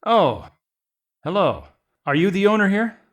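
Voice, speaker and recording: A man's voice: sits high, big pitch swings, unhurried. A man playing a plainspoken shipwrecked mercenary in a space adventure audio drama. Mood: polite, uneasy. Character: male shipwrecked mercenary hunter, rugged and plainspoken, courteous.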